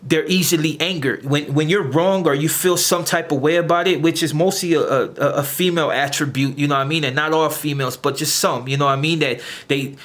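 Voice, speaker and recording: Guy on his channel going off at haters, kinda high voice for a dude, keeps tripping over his words. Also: fairly even intonation, fairly bright tone. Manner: confrontational, lecturing viewers; halting, repeats words as he searches. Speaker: channel host. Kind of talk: direct-to-camera rant.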